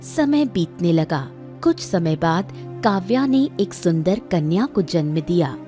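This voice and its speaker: A woman's voice: fairly low pitch, animated, slow, warm tone, soft background music. A woman narrating a scripted moral tale for a cartoon, as a storyteller.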